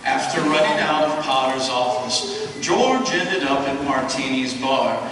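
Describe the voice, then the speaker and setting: A man playing a calm senior angel who steadily explains events, in a stage radio play recorded in an echoey hall. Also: medium pitch, unhurried, lightly acted. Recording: echoey hall, distant mic, noisy.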